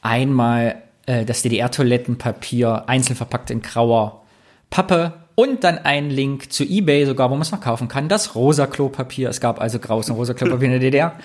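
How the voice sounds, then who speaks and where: A man co-hosting a casual podcast, reading listener comments aloud and telling anecdotes in a chatty way. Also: medium pitch. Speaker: podcast co-host.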